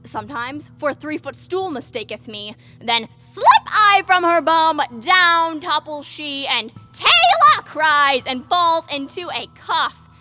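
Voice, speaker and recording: A mischievous fairy jester, acted by a woman, boasts in a high voice. The Shakespearean verse comes slowly and with relish, as in an audio play, over instrumental music. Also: sing-song, exaggerated voice. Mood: gleeful, boastful.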